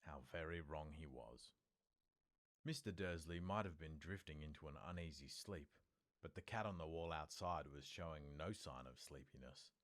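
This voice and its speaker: A man with a low-pitched voice narrates a story. He reads from a script in the manner of an audiobook narrator, telling the tale as prose. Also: normal speed, a bit bright.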